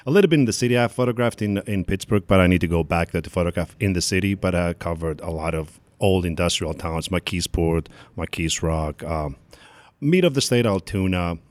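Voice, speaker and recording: A man in his forties from Greece with a Greek accent, a photographer talking in a casual interview, halting and often trailing off. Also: low voice, dry recording. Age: 40s. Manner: halting, restarts sentences, trails off quietly. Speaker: guest photographer.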